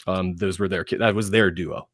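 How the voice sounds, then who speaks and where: A man speaking as a panelist in enthusiastic film talk, emphatic, with dramatic pauses and phrases repeated for effect. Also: deep voice, steady tone, fairly bright tone, no echo, studio quality.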